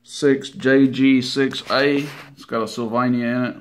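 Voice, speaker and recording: A man giving a casual, unhurried hands-on demonstration in a folksy, informal way. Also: medium pitch, steady tone. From Tennessee, United States. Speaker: CB radio hobbyist presenting his equipment. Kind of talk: casual hands-on demo narration. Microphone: handheld camera mic, operator behind it.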